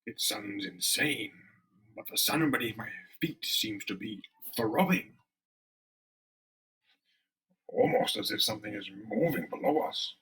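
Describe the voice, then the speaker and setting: A man's voice: on the low side, sing-song, normal speed, pushed and bright, recorded from a distance, heavily acted, low-quality recording. A man voicing an alarmed, bewildered desert soldier with a fearful, dramatic manner. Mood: uneasy.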